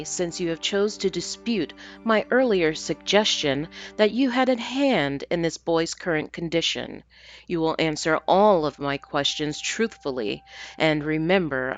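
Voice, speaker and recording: A cold, menacing demon fox , voiced by a woman reading a story, speaks low and deliberately. It commands and taunts over faint music.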